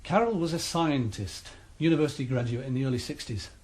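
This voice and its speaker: Male actor as a calm, threatening crime boss; understated radio-play menace. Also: medium pitch, brisk, lightly acted. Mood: menacing. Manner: menacing, matter-of-fact. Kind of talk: radio drama acting.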